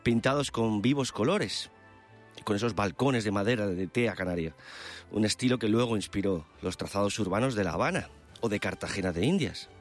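A man's voice: lowish voice, moderate pace. A man presenting a live radio news chronicle in a descriptive, eloquent manner. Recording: music bed under the voice. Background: background music.

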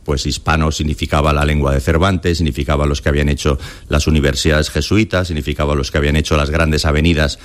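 A man's voice: a bass voice, little pitch movement, quick pace. A man from Spain with a Castilian accent, telling stories colloquially as an interview guest, slipping into imagined quotes. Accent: Castilian Spanish. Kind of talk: conversational storytelling in an interview.

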